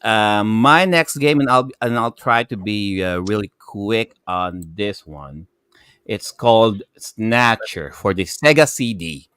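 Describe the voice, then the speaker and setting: A man with a Filipino accent chatting casually as a gaming show panelist, excitable and rambling, often repeating himself. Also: fairly low pitch, takes it slowly, clear, resonant tone, no room sound, studio quality. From Philippines. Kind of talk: casual chat.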